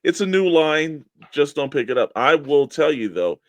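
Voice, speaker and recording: A man's voice: fairly high pitch, sing-song, normal speed, no echo. Casual American man co-hosting, close dry sound. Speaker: co-host of a toy collecting show. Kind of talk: casual banter, sponsor reads and mock weather report.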